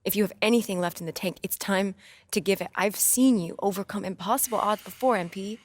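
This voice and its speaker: A woman's voice: medium pitch, brisk, a little hiss or hum, natural voice. A woman playing an athlete's supportive but insistent young girlfriend in a video game scene, earnest and natural in delivery. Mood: urging. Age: young adult.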